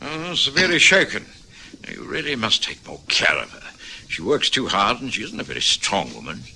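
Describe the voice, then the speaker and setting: An actor playing an earnest, caring family doctor in an old radio drama, speaking in a grave, measured and admonishing manner. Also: male voice, mid-range voice, wildly swooping pitch, gravelly, sharp tone, slight background noise, exaggerated voice, low-quality recording. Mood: stern concern. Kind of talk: old-time radio drama acting and narration.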